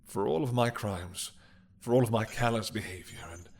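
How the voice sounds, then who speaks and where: A man playing an ancient, imprisoned mad dragon king in an intimate audio roleplay, seductive and menacing, with a husky, breathy voice and a teasing, hesitant drawl. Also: fairly low pitch, darkish tone. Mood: reflective. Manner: slow, teasing, hesitant drawl with murmured asides.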